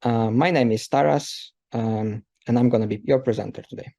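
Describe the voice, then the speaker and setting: A man in his thirties from Ukraine presents a technical session for an audience. His voice is gravelly and mellow, with a low, dark color. He talks loosely, filling gaps with uh and um. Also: moderate pace. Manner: loose, filler-heavy speech with many uh and um. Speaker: presenter, systems engineer.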